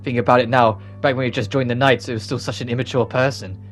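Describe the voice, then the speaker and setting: A man with a mid-pitched voice hosts a let's play. He reads visual novel dialogue aloud and adds his own casual commentary, with faint game music underneath. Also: fairly even intonation, fast talker.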